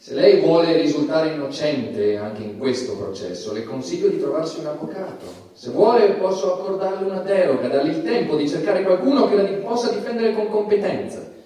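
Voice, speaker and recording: A man playing a stern, formal judge in a theatrical courtroom drama, speaking deliberately and admonishingly. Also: fairly high pitch, animated, mellow, natural voice. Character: stern, formal male judge presiding over obscenity trial. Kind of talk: theatrical courtroom stage acting in Italian. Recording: echoey theatre hall, distant microphone.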